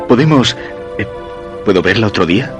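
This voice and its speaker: An actor with a Castilian Spanish accent playing a curious, insistent husband who recounts a mysterious romance in measured, literary radio-play style. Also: male voice, fairly low pitch, lively intonation, over music, lightly acted. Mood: curious, probing.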